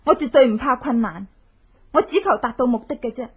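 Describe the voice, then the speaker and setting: A woman's voice: a little high, expressive, slightly rough. An actress playing a determined young avenging woman who coaxes sweetly, in Hong Kong Cantonese radio drama style. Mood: resolute, earnest. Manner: coaxing, theatrical, drawn-out sentence particles.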